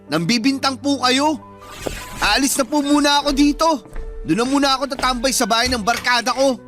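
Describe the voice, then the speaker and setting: Indignant college-age son talking back, Filipino accent, high voice, radio drama acting, light music behind. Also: brisk, fairly bright tone. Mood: indignant, defiant.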